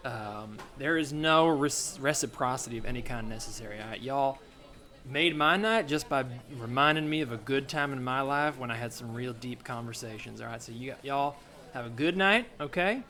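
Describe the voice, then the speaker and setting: A man playing a kindly older working dad with a folksy, halting way of talking in improvised comedy. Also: mid-range voice, pushed and bright. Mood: baffled, kindly.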